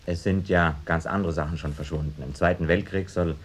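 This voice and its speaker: Low-voiced, pedantic professor. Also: male voice, fairly even intonation, husky. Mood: pedantic, measured. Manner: hesitant, deliberate, academic hedging. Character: pedantic male university professor, parapsychology expert witness. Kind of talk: mock documentary radio drama, expert statement.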